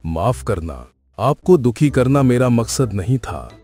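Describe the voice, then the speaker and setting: A man's voice: medium pitch, big pitch swings, measured pace, mellow, husky, soft background music. A man playing a kind, courteous father billy goat in a cartoon story, speaking politely and earnestly. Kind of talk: Hindi cartoon story acting.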